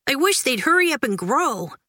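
A woman's voice: high-pitched, big pitch swings, moderate pace, sharp tone, no echo, clean studio sound, voice pushed up, heavily acted. A woman voicing a curious, earnest seven-year-old boy who loves science. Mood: eager.

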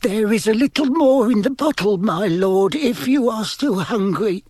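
A man's voice: high voice, lively intonation, measured pace, darkish tone, dry recording, clean studio sound, pitched above the performer's own voice, heavily acted. A man voicing a fearful, servile male henchman in an audiobook, nervous, hesitant and deferential.

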